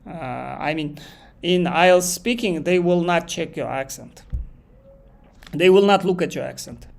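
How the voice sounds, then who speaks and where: A man's voice: fairly high pitch, a little slow, creaky. A man from Kazakhstan with a Kazakh accent, teaching a live online English exam lesson and thinking aloud as he goes. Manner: thinks aloud, repeats phrases, reads chat questions aloud.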